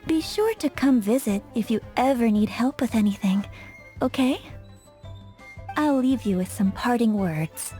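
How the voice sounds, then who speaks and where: A flirtatious perfumer, high mellow voice. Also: female voice, animated, unhurried, faint music behind. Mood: poised, instructive. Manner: coquettish, instructive, poised. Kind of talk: English video game voice acting.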